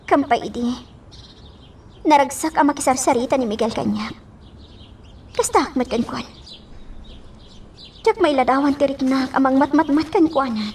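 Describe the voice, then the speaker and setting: A woman's voice: high voice, moderate pace, long pauses, darkish tone, recorded from a distance, a little hiss or hum. A woman playing a heartbroken young lady in a radio drama, bitter and wistful, with emotive delivery. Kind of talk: Ilocano radio drama acting.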